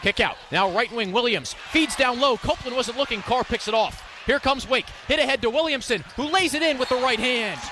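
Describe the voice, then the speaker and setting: Man, American accent, high voice, sports broadcast delivery, slight background noise. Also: brisk, hardly any pauses. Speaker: sports announcer and reporter. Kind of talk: play-by-play, interviewing and scripted reporting.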